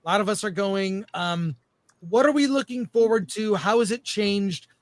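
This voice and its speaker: A man's voice: high-pitched, normal speed, slight background noise. A middle-aged man hosting a casual panel talk, putting questions to his guests. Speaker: show host.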